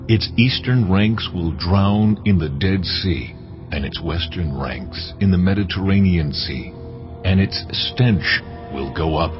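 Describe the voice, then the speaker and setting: A very low, solemn voice of God, declaims scripture with measured authority. The audio is slightly dull, with music clearly playing underneath. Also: male voice. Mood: stern promise. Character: God, solemn authoritative male deity addressing his people. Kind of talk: dramatized scripture reading. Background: clear background music.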